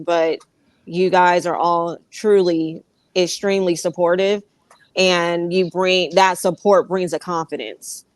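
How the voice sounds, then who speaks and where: A woman artist speaking in a reflective, measured way in an unscripted panel talk. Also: on the low side, no room sound.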